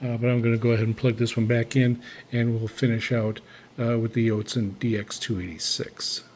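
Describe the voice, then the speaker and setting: A man giving a casual, unscripted demonstration as a radio hobbyist, speaking in a halting, deliberate way. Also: mid-range voice, level tone, darkish tone, a little hiss or hum.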